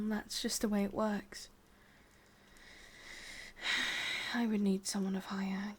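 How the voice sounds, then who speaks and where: Woman doing a english witch asmr roleplay, obsessive and lovesick, super slow breathy whisper w lots of creak. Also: medium pitch, slight background noise, close to an everyday voice. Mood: calm, resigned. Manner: slow, hushed, intimate delivery with pauses. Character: lovelorn, obsessive immortal witch, wistful and gently coaxing.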